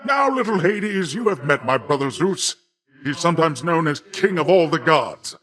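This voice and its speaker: Jovial show-off sea god uncle, game character, kinda high voice, big theatrical delivery, talks fancy and old-fashioned. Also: male voice, expressive, moderate pace, a bit bright. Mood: jovial, proud. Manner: bombastic, patronizing, theatrical, old-fashioned flowery phrasing. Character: boastful, jovial sea god, a hearty middle-aged uncle.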